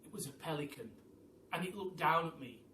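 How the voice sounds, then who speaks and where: A man's voice: a little high, expressive, bright, forceful tone. Man reading a story in an echoey room. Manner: theatrical, with dramatic pauses and emphasis. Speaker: teacher reading a children's story aloud. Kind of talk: animated storytime reading in a child narrator's voice. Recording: roomy, distant mic with faint background noise.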